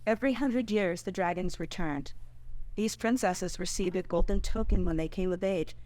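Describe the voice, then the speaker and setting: A woman's voice: fairly low pitch, normal speed, a little mellow, no room sound, close to an everyday voice, poor audio. An actress playing a grave, delicate queen and mother at a screenplay table read.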